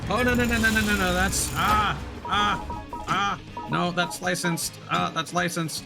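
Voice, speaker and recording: A man's voice: high-pitched, fairly even intonation, measured pace, vocal fry, music in the background. A man giving live let's play commentary as a streamer, reading game text aloud and adding hesitant explanatory asides.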